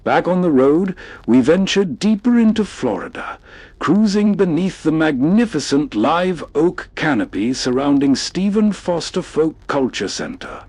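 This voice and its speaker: Higher-pitched male travelogue narrator, dry sound. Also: sing-song, unhurried, darkish tone, slightly rough, no room sound. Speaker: host narrator. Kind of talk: scripted atmospheric travelogue narration. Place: dry studio-style voice-over.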